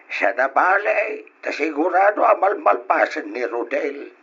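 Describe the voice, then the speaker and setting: An actor playing a kindly middle-aged uncle in a radio drama. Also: male voice, fairly high pitch, very animated, unhurried, gravelly, fairly bright tone, husky, heavily acted. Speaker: uncle character. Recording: dull lo-fi radio recording.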